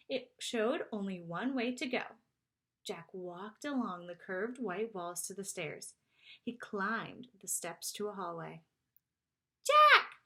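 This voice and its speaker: A woman narrating a children's chapter book aloud in an expressive storytelling style. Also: mid-range voice. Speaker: storybook reader.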